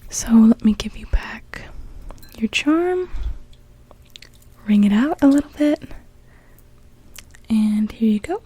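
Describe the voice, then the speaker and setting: A young woman playing a gentle, welcoming witch in a soft, soothing whispered ASMR roleplay. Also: a little high, animated, slow, pauses often, husky, exaggerated voice. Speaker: ASMR performer.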